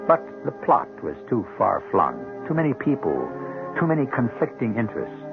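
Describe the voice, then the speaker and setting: Creaky, theatrical male radio host. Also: medium pitch, huge pitch swings, narrow, tinny audio. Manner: dramatic, deliberate, ominous. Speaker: host and narrator. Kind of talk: theatrical scripted 1970s radio narration. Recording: thin vintage radio recording with music.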